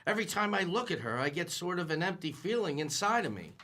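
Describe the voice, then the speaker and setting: A man's voice: sits high, very animated, fast talker, sharp tone, heavily acted. A young man playing a bashful, timid store clerk in a radio play, stammering and repeating his words. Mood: nervous. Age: young adult. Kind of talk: 1940s radio acting.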